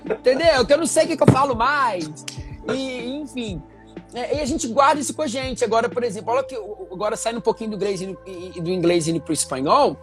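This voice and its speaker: A man from Minas Gerais, Brazil, hosting a casual chat in a lively, rambling way with many fillers. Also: very high-pitched, quick pace, over music. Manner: chatty, animated, many fillers. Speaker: show host. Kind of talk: casual conversation.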